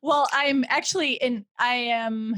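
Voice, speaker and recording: A woman's voice: fairly high pitch, a little slow, fairly bright tone, dry recording. A woman guest on a talk show chatting cheerfully about living abroad. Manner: cheerful, laughs easily. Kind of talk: casual chat on a talk show.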